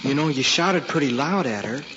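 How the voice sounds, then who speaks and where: A man's voice: medium pitch, expressive, moderate pace, lightly acted. A young male actor with an American accent playing a polite, earnest schoolboy in an old radio drama, talking tentatively and respectfully to his elders. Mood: tentative, coaxing. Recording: dull hall-like vintage recording, faint noise.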